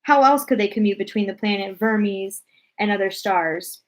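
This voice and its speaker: A woman reading a children's storybook aloud as narrator, expressive and deliberate in her storytelling. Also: medium pitch.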